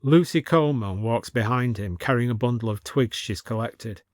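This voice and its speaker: A man's voice: mid-range voice, normal speed, no echo. A man with a British accent reading a scripted fiction story as narrator.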